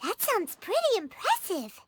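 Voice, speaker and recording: A woman voicing a small, childlike fairy companion in a video game, curious, excitable and naive. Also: extremely high pitch, normal speed, slight background noise, exaggerated voice. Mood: impressed. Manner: refers to self in third person, naive questioning. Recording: background music over dialogue.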